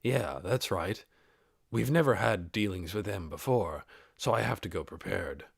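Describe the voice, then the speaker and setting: A man plays a male lizard warrior in a fantasy audiobook. He sounds calm, sincere and steady, with a fairly low voice recorded dry and close. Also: a little slow, vocal fry, slightly rough, no echo, heavily acted. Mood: matter-of-fact. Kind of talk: audiobook character acting.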